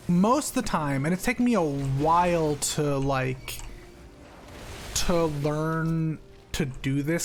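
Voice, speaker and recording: Chatty higher-voiced male streamer. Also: a little hiss or hum. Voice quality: stutter. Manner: rambling, restarts often, laughs a lot. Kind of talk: casual chat while gaming.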